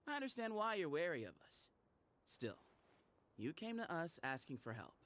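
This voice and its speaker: An actor playing the sly, teasing leader of an armed band in a video game, with a glib, mocking, casual manner. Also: male voice, fairly high pitch, sing-song, moderate pace, fairly bright tone. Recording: faint background noise.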